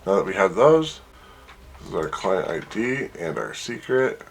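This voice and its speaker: A man presenting an informal software tutorial, thinking aloud as he works through each step. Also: lowish voice, big pitch swings, moderate pace. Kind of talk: casual screen-share walkthrough.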